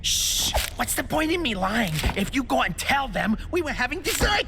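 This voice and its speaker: An actor voicing a cocky, excitable small raccoon-like creature who bickers and throws insults in a game cutscene. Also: male voice, extremely high pitch, background noise, heavily acted. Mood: bickering. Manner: brash, bickering, quick to insult. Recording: game score under the voice.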